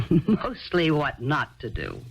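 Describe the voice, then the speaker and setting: A woman's voice: deep voice, huge pitch swings, takes it slowly, husky, heavily acted. An actress in a 1970s radio drama playing a weary, practical single mother who answers in an offhand, guarded way. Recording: dull lo-fi archival radio broadcast, faint hiss.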